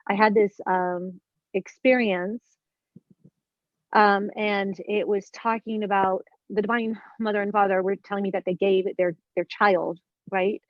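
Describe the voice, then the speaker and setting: An earnest woman of fifty talks through spiritual ideas in a relaxed conversation, searching for words and circling back as she goes. Her voice sits at an ordinary pitch for a woman. The recording is dull and lo-fi, with a dry, close sound. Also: no echo. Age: 50s. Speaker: guest.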